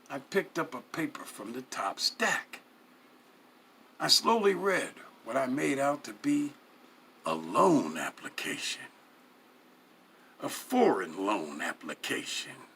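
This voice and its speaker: A man reads a first-person story dramatically and slowly, his pitch moving widely. The voice is bright and forceful, the delivery theatrical and deliberate, over faint background noise. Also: gravelly. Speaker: story reader.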